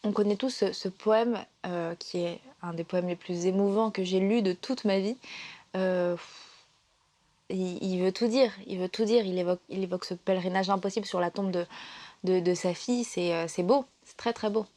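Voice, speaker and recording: A woman's voice: mid-range voice, fairly even intonation, normal speed, mellow. A woman presenting a literature vlog in a casual, playful and emotional way, often hesitating with fillers and restarts.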